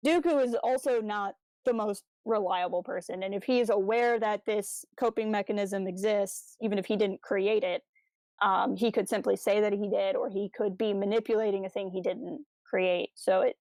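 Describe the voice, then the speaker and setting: A woman's voice: medium pitch, steady tone, normal speed, darkish tone, no echo, rough recording. A woman co-hosting a podcast, chatting casually in an enthusiastic, analytical way about stories. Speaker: podcast co-host. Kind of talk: casual discussion of a fan audio drama.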